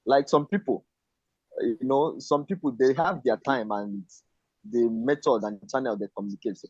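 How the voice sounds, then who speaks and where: A man joins a casual video-call discussion and talks in a hesitant, searching way. His voice sits at a middle pitch. The audio is dry and muffled, like laptop call sound. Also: moderate pace, a little mellow, slightly rough, dry recording. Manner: hesitant, searching for words, fillers. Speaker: panel guest.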